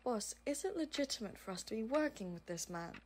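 A woman's voice: a little high, animated, a little fast, very noisy, close to an everyday voice. A woman playing a serious, exacting aide with a formal, dry manner in an audio roleplay. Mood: serious, concerned. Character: serious, exacting female crime-business aide, dryly exasperated. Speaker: voice actor. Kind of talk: amateur audio roleplay acting.

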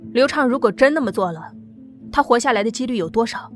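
A woman's voice: fairly high pitch, expressive, quick pace, faint music behind, natural voice. A woman voicing an anxious young woman who presses and pleads, in an audio drama. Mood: worried.

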